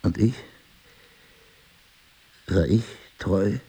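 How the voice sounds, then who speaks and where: An actor playing a middle-aged amnesiac husband in an audio drama, husky and gravelly, probing and dryly self-mocking. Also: male voice, low voice, extremely slow, mellow, full character voice. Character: amnesiac husband, a crime writer, searching and wryly ironic. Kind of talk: intimate audio drama dialogue.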